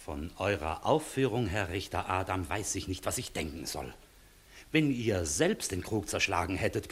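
An actor playing a stern, exasperated court official with a husky voice, formal and reproving, in a classic German radio comedy. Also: male voice, mid-range voice, a bit bright.

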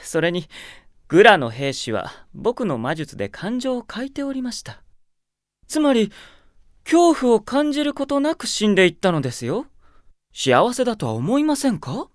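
An actor playing a young, mockingly courteous, sadistic sorcerer in a radio drama, smug and theatrical. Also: male voice, high-pitched, big pitch swings, moderate pace, dry recording, studio quality. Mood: silky mockery. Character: young male evil sorcerer, mocking, coolly polite, sadistic. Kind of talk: Japanese radio drama acting.

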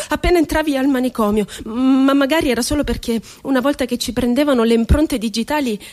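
A woman's voice: high-pitched, darkish tone, close to an everyday voice. An actress playing an ironic, wounded woman poet confined in an asylum, telling her story expressively in a radio theatre monologue.

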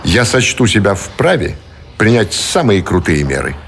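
Embittered commander in a radio drama, deep swooping voice, bit of hiss. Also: male voice, sing-song, moderate pace, gravelly, a bit bright, heavily acted. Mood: indignant. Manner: authoritative, commanding. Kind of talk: Russian radio drama acting.

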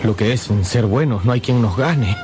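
An actor playing an ardent, devoted young painter in love, in a radio drama. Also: male voice, mid-range voice, normal speed, close to an everyday voice. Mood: teasing, amorous. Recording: music behind the voice.

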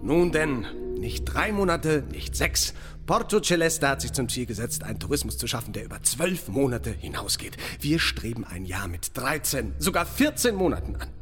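Smug evasive mayor guy male actor, radio play, super animated official speechifying, music under him. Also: mid-range voice, moderate pace, natural voice. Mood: promotional, smug. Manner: oratorical, unctuous, blandly bureaucratic. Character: evasive, self-satisfied small-town mayor of a seaside resort. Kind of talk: German radio drama acting. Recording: long hall reverb, music underneath.

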